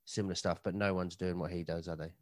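Deep-voiced joking man. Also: flat delivery, fast, no echo. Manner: dry, joking, rambling. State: slightly hungover. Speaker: podcast co-host. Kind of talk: casual chat.

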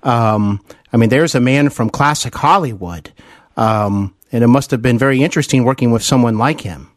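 Man, podcast interviewer, courteous and affirming, conversational, medium pitch, dry recording. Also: moderate pace, dry recording. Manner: polite, deferential, affirming the guest.

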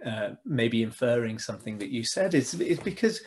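A man, speaking as a guest on a conversational podcast. He explains his thinking earnestly, with plenty of ums and restarted sentences. His voice sits at a middle pitch for a man. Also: expressive, moderate pace, crowd noise. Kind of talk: casual interview chat.

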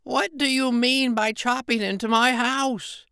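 A man voicing a hot-tempered little old male fairy with a long beard, in a storybook reading, pitched far above his own voice. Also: steady tone, a little slow, creaky, clear, resonant tone, dry recording, studio quality, exaggerated voice. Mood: angry. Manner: shrill, sharp-tongued.

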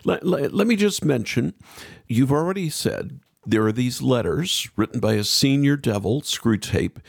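A man's voice: mid-range voice, lively intonation, normal speed, gravelly, slightly rough, no echo, clean studio sound. A man hosting a radio interview, speaking in an emphatic, effusive way. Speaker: radio show host.

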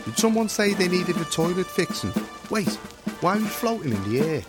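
A man's voice: high voice, very animated, a little fast, over music. A man voicing a hapless male plumber who complains and grumbles. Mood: confused. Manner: put-upon, complaining.

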